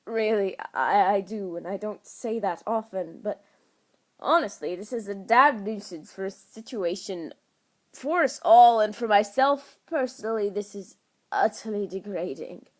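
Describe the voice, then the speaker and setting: A woman's voice: mid-range voice, measured pace, lightly acted. A woman voicing a haughty, sarcastic trickster god trapped in a small boy's body, speaking formally and indignantly in a storybook reading.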